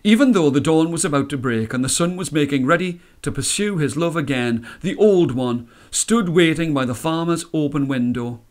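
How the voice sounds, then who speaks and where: A man reading a story aloud as a storyteller, with measured, dramatic phrasing. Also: fairly high pitch, lively intonation. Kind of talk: expressive scripted storytelling.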